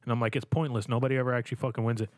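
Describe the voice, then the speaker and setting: A man's voice: medium pitch, level tone, very fast, dry recording. A man in casual chat, blunt and sardonic, ranting with heavy swearing.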